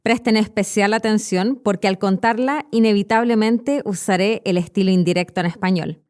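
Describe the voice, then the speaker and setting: A Chilean woman in her thirties hosts an educational podcast. Her voice sits at a middle pitch, and she reads her script like an expressive storyteller. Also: moderate pace. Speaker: podcast host and Spanish teacher.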